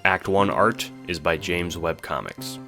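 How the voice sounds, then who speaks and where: A man giving dramatic, wry scripted narration for an audio drama, theatrical and expressive. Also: low voice, unhurried, creaky, music in the background.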